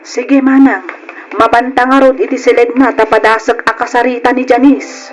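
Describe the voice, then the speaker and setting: An actress playing a concerned, respectful family friend in an old Ilocano radio drama, speaking earnestly and with worry. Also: female voice, fairly high pitch, flat delivery, normal speed, music in the background, lightly acted. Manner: earnest, worried.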